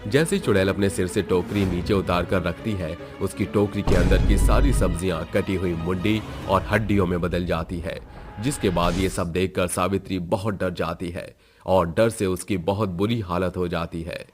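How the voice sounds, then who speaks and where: A man's voice: sits low, steady tone, moderate pace, soft background music. A man narrating a scripted horror story in a dramatic storytelling style.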